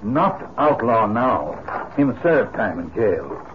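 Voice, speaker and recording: An actor playing a stoic, terse companion who speaks clipped broken English in a 1950s radio western. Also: male voice, lowish voice, big pitch swings, slow pace. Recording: tinny, noisy 1950s radio recording.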